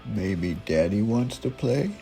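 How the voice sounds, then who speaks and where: Casting: leering barroom creep for audio drama, low gravelly mellow voice, mocking. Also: male voice, animated, slow, faint music behind. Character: sleazy, taunting barroom jerk.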